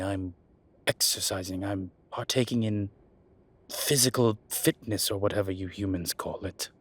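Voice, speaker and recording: Audio roleplay: male actor as demon professor, low and husky, slow-spoken, halting, defensive, easily exasperated. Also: fairly bright tone, full character voice. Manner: halting, defensive, muttering asides. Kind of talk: audio roleplay acting, one-sided dialogue.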